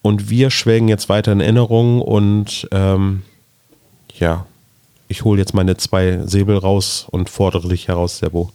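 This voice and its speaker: A man's voice: low voice, little pitch movement, unhurried, warm tone, clean tone, dry recording. A man co-hosting a podcast, explaining things in a rambling, casual way. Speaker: podcast co-host.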